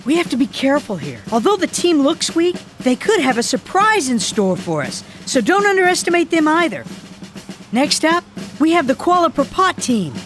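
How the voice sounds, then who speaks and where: An earnest young boy explains things to his football team in a cartoon. The voice is high and lively, with light music playing behind it. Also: moderate pace. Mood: serious, informative. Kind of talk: animated cartoon dialogue. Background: background music.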